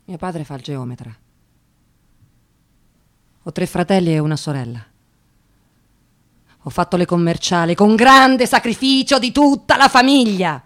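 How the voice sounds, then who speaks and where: An actress playing a nervous, tired young unemployed woman in a radio monologue, halting and agitated, repeating her words. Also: lowish voice, fairly bright tone. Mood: wistful. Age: young adult. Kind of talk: radio theatre dramatic monologue.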